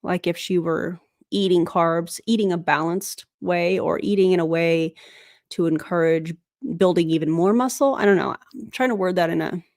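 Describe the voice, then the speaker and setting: A woman with a fairly low voice talks through a livestream commentary. She is blunt and skeptical, with sarcastic asides. The sound is dry and close. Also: normal speed, darkish tone, no room sound. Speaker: anti-MLM commentator reacting to a clip.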